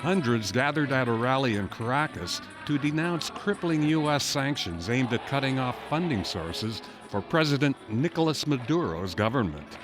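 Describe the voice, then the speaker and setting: A man's voice: mid-range voice, expressive, moderate pace, hardly any pauses, over music. A man narrating a scripted television news report as a correspondent.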